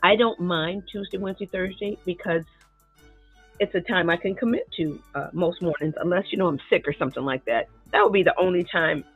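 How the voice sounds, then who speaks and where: A woman's voice: on the low side, a little fast, slightly rough, faint music behind. A middle-aged woman with a nasal, congested voice, chatting casually with viewers while she sets up a painting lesson in her home studio. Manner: chatty, laughs often, talks to viewers as "y'all" and "baby". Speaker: art teacher live-streaming a painting lesson. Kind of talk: casual live-stream chat while setting up. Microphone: desk microphone near the table. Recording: tinny, narrow-band live-stream audio.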